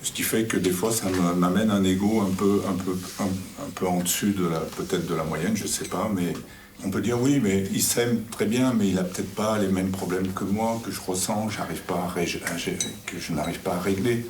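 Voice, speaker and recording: A middle-aged man sharing in a group circle, halting and repeating words but self-assured. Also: sits low, a little mellow. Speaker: retreat participant. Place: indoor room around a table. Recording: roomy, distant mic, faint noise.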